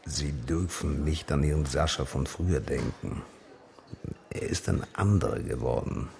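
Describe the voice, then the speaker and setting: A decisive, courteous middle-aged man in a radio play. His voice is exceptionally low with a heavy gravelly creak. He talks in a measured, serious way, always polite and controlled. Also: darkish tone, full character voice. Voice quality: gravelly, very deep. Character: grey-haired, decisive, courteous male acquaintance bearing grave news. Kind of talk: radio drama dialogue.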